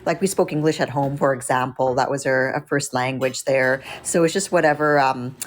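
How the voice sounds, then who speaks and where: Canadian woman, forties, low-pitched, halting casual chat over video call. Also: fairly even intonation. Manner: hesitant, many fillers and restarts. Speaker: guest. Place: home room with window. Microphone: laptop camera on video call.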